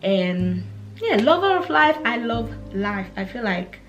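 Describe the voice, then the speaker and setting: A woman in her twenties from Africa, chatting casually to camera as a vlogger, relaxed and full of fillers and restarts, with music behind her. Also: fairly high pitch, measured pace, muffled recording. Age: 20s.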